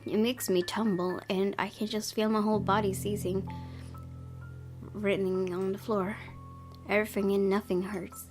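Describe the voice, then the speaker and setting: A woman with an expressive, excitable delivery, reading a horror visual novel aloud as a let's play host and reacting to it. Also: medium pitch, moderate pace. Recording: loud game music behind voice. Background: loud game music.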